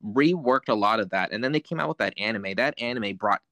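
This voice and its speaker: A man's voice: lowish voice, animated, fairly quick, fairly bright tone, dry recording, clean studio sound. A man co-hosting a podcast, chatting casually about video games and rambling with lots of fillers. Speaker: podcast co-host. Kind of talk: casual chat.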